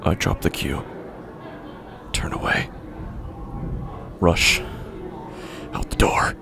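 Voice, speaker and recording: A man narrating a first-person horror story in a halting, uneasy way, often breaking off mid-sentence, over a music score. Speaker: podcast narrator.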